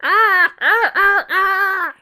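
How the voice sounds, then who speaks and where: A man doing a shrill, squawking crow. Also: extremely slow, bright, forceful tone, full character voice. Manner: shrill cawing and croaking cries. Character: vain female crow, squawking harshly.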